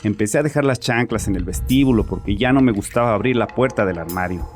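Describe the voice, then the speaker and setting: A man's voice: lowish voice, fast, close to an everyday voice. An actor playing a bitter, troubled father confessing defensively and sardonically in a dramatized horror audiobook. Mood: uneasy. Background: music.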